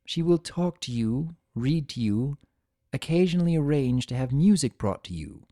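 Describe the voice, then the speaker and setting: A man voicing a regal female pony ruler in a story reading, composed, gentle but firm, with measured, formal speech. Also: medium pitch, animated, no echo, studio quality, close to an everyday voice.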